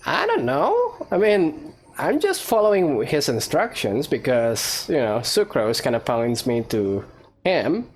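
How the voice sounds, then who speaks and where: A woman's voice: low voice, huge pitch swings, takes it slowly, a little mellow, breathy edge. A woman hosting a gaming let's-play, giving casual, playful commentary as she reacts aloud to the game. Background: game music.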